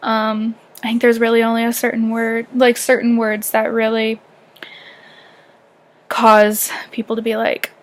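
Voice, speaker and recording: A woman's voice: on the high side, monotone, measured pace. A young woman from southern Ontario with a light Canadian accent, rambling through a casual personal vlog. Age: young adult. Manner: rambling, heavy use of fillers like "um" and "like". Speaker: vlogger.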